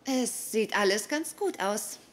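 A woman acting a sassy, blunt but warm-hearted young girlfriend in a dubbed sitcom. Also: mid-range voice, lively intonation, measured pace, lightly acted. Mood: affectionate. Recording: noisy TV sitcom soundtrack.

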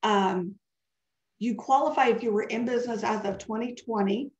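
A woman's voice: fairly high pitch, moderate pace, a little mellow. Congested woman presenting a webinar. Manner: chatty and digressive, answering questions. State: congested and scratchy. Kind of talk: live webinar talk. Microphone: webcam video call. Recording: dull echoey video-call audio.

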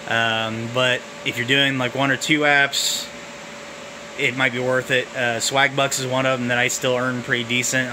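A man walks through his crowded home office with a handheld camera, talking in a relaxed, halting way full of fillers. His delivery is fairly flat and even. An air conditioner hums clearly under the recording. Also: mid-range voice, background noise. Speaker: vlogger showing his phone farm. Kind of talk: casual off-the-cuff walkthrough. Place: cluttered home office full of phones and computers. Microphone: handheld camera mic, filming while walking around.

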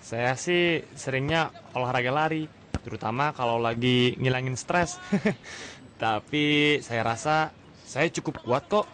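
An actor playing a polite, apologetic young football hopeful in a radio drama, deferential in manner. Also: male voice, medium pitch, a little slow, fairly bright tone, a little hiss or hum, natural voice. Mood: respectful.

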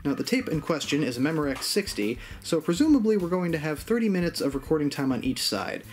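A man in his thirties hosting an explainer video in a playful, animated way with casual asides. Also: on the high side, quick pace, faint music behind. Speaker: video host. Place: small home room with hi-fi gear, indoors.

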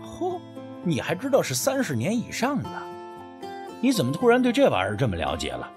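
Actor as skeptical storyteller man, very animated delivery. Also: fairly high pitch, a little fast, music in the background. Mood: skeptical, teasing. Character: skeptical, sardonic man narrating a folk ghost story. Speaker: narrator and first-person lead of an audio novel. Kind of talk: audiobook storytelling with acted dialogue.

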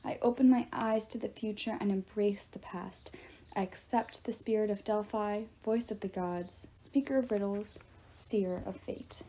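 A woman voicing an earnest, resolute teenage girl oracle in an audiobook, solemn, measured and soft-spoken. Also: medium pitch, fairly even intonation, a little hiss or hum, lightly acted.

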